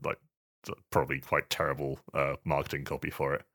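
A man's voice: rumbling low, completely flat delivery, a little fast, a bit bright. A man co-hosting a podcast, chatting casually about video games in a tentative, mild-spoken way. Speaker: podcast host.

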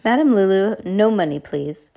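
A woman voicing a pleading, flattering fortune-teller from a storybook, with a vague foreign accent and theatrical broken English. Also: medium pitch, moderate pace, vocal fry, voice pushed up. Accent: exaggerated vague foreign.

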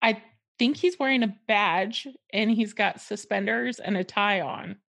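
A woman co-hosting a podcast with a husky, smoky voice, playful and quick to laugh. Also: fairly bright tone. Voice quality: low husky smoker's voice. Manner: laughs often, playful, teasing. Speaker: podcast co-host. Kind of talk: casual comedic chat.

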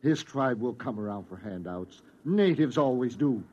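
An actor in an old radio drama playing a gruff, domineering colonist chief who interrogates curtly, on an old recording. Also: male voice, medium pitch, expressive, moderate pace, dark tone, muffled recording, natural voice. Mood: impatient. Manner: curt, threatening, interrogating.

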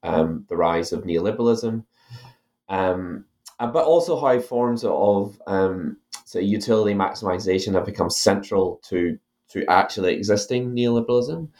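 Irish man, low voice, halting academic. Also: a little mellow. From Ireland. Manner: thoughtful, many fillers and restarts. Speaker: author guest. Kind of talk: academic interview.

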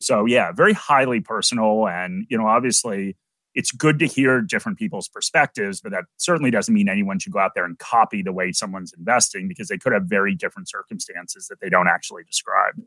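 A middle-aged American man, a podcast guest, explaining investing in a relaxed, conversational way with many "you know"s. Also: on the low side, brisk, no room sound, low-quality recording. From United States. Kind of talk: podcast interview.

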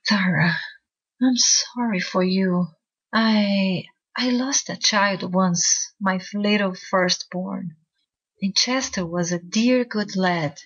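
Tender neighbour wife, husky and slow, read by a woman narrator. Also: mid-range voice, lo-fi sound. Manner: soft, trembling. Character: simple tender-hearted middle-aged wife.

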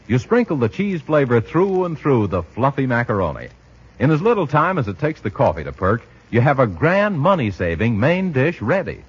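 A man's voice: medium pitch, breathy edge. A man announcing in a polished, scripted 1940s radio style, with a theatrical salesman's delivery. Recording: muffled vintage radio recording.

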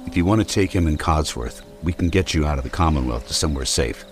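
A man's voice: extremely low pitch, expressive, fast, faint music behind. An actor playing a stalwart, protective military man in an audio drama, earnest and a little stiff. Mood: concerned.

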